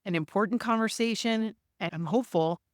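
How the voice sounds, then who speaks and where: A woman's voice: mid-range voice, a little fast, no echo, clean studio sound. A woman hosting a podcast interview, asking questions in a friendly, upbeat way. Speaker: podcast host.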